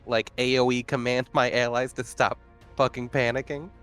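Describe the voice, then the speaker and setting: A man's voice: medium pitch, little pitch movement, normal speed, fairly bright tone, clear, resonant tone. A man talking casually as a player in a tabletop roleplaying game. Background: background music.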